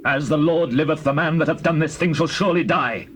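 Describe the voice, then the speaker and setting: A man's voice: fairly high pitch, a little fast, a bit bright, close to an everyday voice. Guy doing an emotional bible king, theatrical and grave, bit of background noise with birdsong. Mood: outraged. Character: passionate, sorrowing ancient king of Israel. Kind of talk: dramatized scripture acting.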